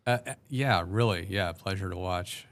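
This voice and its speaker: A man's voice: low-pitched, normal speed, slight background noise. A man doing casual play-by-play commentary, describing each move step by step and hesitating often with ums.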